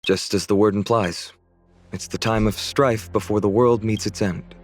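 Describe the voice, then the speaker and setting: A man's voice: fairly low pitch, steady tone, moderate pace, natural voice. A man voicing a polite, earnest local who answers briefly.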